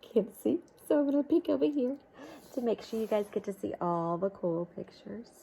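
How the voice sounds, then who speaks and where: A woman's voice: mid-range voice, animated, moderate pace, creaky, warm tone, breathy edge, poor audio. A woman reading a picture book aloud to children, expressively, with coaxing questions and asides to her listeners.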